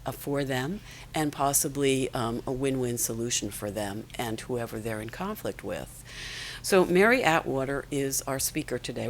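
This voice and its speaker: A woman host with a very deep voice introduces a guest in a measured, prepared way. Faint noise hangs behind her in the meeting room. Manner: measured, reads out a biography. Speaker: event host. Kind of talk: introducing a speaker. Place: conference room.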